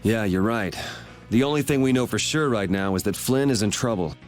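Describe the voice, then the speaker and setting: A man's voice: on the low side, fairly quick, lightly acted. A man voicing a cool, level-headed young swordsman in an anime video game, casual and direct. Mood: cool, measured. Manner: casual, laid-back, direct. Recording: background music behind the voice.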